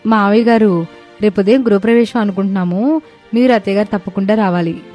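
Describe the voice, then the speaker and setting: A woman's voice: medium pitch, fast talker, dark tone, faint music behind, lightly acted. A woman playing a sweet, polite young daughter-in-law who explains things earnestly in a story cartoon. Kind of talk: dramatized moral story cartoon.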